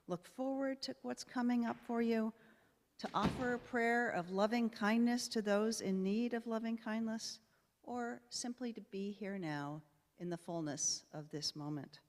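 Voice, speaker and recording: A woman introducing a time of quiet prayer and candles in a church service, calm and measured. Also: fairly high pitch, a little hiss or hum. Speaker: lay leader of prayer time. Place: church sanctuary. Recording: long church reverb.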